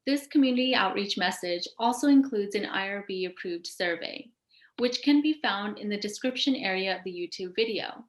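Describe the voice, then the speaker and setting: A woman's voice: mid-range voice, animated, normal speed. A woman presenting as a show host, reading prepared questions and remarks in a scripted interview style. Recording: roomy, echoing sound.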